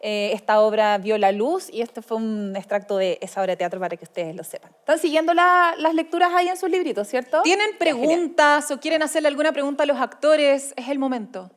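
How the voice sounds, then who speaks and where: A woman's voice: sits high, fast, few pauses. A woman with a Chilean accent hosting a literary event, presenting informally to an audience.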